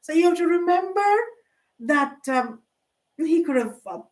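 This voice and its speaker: A woman's voice: high-pitched, animated, measured pace, recorded from a distance. An older woman vlogger talking in a rambling, hesitant way, full of fillers and restarts. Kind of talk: rambling casual monologue.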